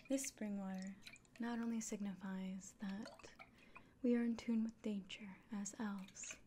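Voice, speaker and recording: A woman voicing a kindly, earnest elven innkeeper in a gentle, breathy, unhurried whisper for an ASMR fantasy roleplay. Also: mid-range voice, steady tone, slight background noise, natural voice. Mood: gentle, reverent.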